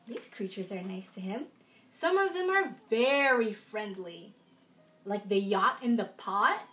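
A young adult woman reading aloud at a children's storytime, expressive and sing-song, with little asides to young listeners. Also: fairly high pitch. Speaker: children's storytime reader in princess costume. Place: small room at home, indoors.

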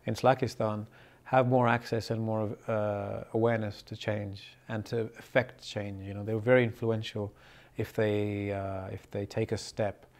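A man's voice: on the low side, a little mellow. A man in his thirties with a London accent, a film director answering interview questions thoughtfully and often repeating words as he searches for the right phrase. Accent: London English. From born in Pakistan, grew up in the UK. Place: indoors in front of a film poster.

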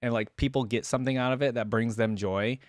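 A man's voice: mid-range voice, steady tone, quick pace, no room sound, clean studio sound. A man, giving casual, rambling reaction commentary. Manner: rambling, musing. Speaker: reaction video host. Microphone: close desk microphone, headset on.

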